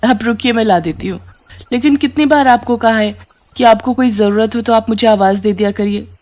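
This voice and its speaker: A woman playing a deceitful young daughter-in-law in a story, brisk, impatient and falsely innocent. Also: on the high side, darkish tone, a little hiss or hum, natural voice. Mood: feigned innocence.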